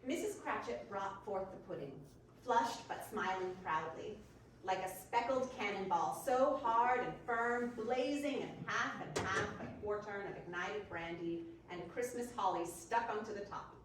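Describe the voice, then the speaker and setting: A woman's voice: mid-range voice, unhurried. Female readers theater narrator, mid-range voice, reverberant room, distant pickup. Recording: very echoey room, distant mic, faint noise.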